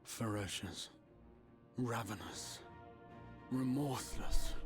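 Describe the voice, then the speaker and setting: An actor playing a grave, portentous storyteller narrating a heroic legend in a video game, solemn and ominous. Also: male voice, medium pitch, takes it slowly, music in the background, lightly acted.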